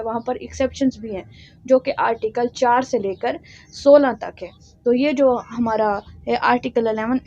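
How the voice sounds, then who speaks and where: A woman with a Pakistani accent teaching as a law lecturer, explaining and reading out text in a deliberate, instructional way. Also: a little high, mellow. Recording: dull lo-fi sound.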